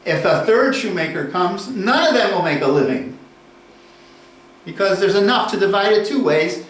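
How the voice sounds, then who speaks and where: A man giving a university lecture, deliberate and unhurried, posing questions and then answering them. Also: high-pitched, lively intonation, a bit bright. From United States. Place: lecture room. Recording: echoey room, distant mic, faint ambience.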